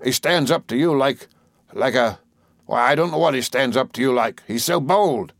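A man's voice: medium pitch, normal speed, gravelly, dry recording, studio quality, pitched above the performer's own voice. Man acting a hearty rustic old fisherman. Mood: admiring. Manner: exclamatory, admiring, folksy. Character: hearty, fond, rustic older English fisherman.

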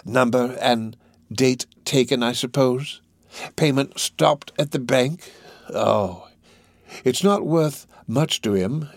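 A man voicing a sly, ingratiating older criminal in a storybook reading, drawing his words out slowly. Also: mid-range voice, no room sound, studio quality. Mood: sly, coaxing. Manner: slow, drawn-out, ingratiating. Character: sly, wheedling older male criminal fence.

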